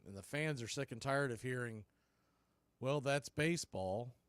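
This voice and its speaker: A man's voice: mid-range voice, normal speed, fairly bright tone, clean tone, no room sound. A man hosting live baseball play-by-play, giving punchy calls and folksy, opinionated commentary. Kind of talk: live radio sports commentary.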